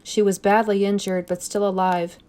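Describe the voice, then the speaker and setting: A woman reading a scary story aloud as narrator, expressive and suspenseful, with deliberate phrasing. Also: medium pitch, clear, resonant tone. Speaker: story reader.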